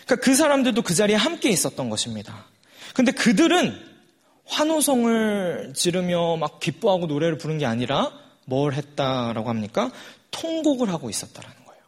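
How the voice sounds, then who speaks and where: A man's voice: sits high, wildly swooping pitch, a little fast. A forty-year-old man preaching a sermon in a conversational way, putting questions to his listeners. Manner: conversational, puts rhetorical questions to the congregation.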